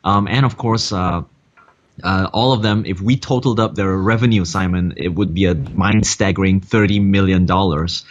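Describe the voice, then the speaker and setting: Low-voiced man on a dull line. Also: steady tone, normal speed, a little hiss or hum. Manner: hesitant, heavy on "uh" and "um". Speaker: seminar co-host. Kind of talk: casual video-call promo chat.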